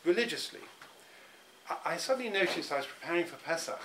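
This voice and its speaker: A man's voice: fairly high pitch, expressive, fast talker. Middle-aged man, reflective interviewee, stop-start delivery, faint hum behind. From England. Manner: thoughtful, many restarts and repeated words.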